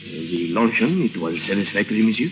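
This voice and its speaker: A man's voice: on the low side, expressive, a little fast, natural voice. An actor in a 1930s radio drama playing a courteous, formal male attendant who defers to customers, on an old broadcast. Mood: deferential. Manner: formal, smooth, obsequious. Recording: dull 1930s radio, music behind.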